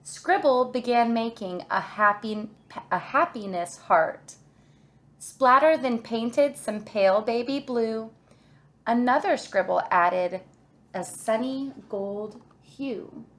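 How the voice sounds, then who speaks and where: A woman reading a children's picture book aloud in an expressive, deliberate storytelling way, like a kindergarten teacher. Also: fairly high pitch.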